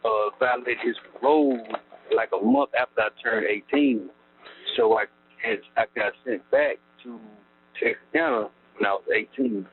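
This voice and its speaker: A man's voice: mid-range voice, expressive, normal speed, slightly rough. Man on a tinny phone line. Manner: rambling, lots of fillers, laughs wryly at times. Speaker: incarcerated interviewee. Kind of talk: casual storytelling about his past. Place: prison phone call.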